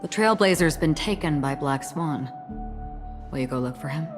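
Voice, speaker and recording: An actress playing a cool, enigmatic, composed woman in a video game, with a measured, understated delivery. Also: deep voice, animated. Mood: cool, curious. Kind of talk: dramatic video game acting. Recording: music behind the voice.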